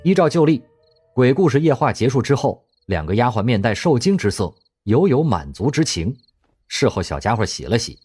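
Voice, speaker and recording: A man narrating an audio drama, telling the story expressively in a husky voice. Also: fairly low pitch, darkish tone.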